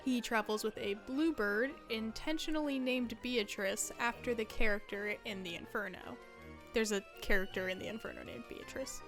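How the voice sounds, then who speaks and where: Wry young woman, fairly high voice. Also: normal speed, over music. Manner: conversational, wry asides. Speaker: video essayist. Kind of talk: casual scripted narration.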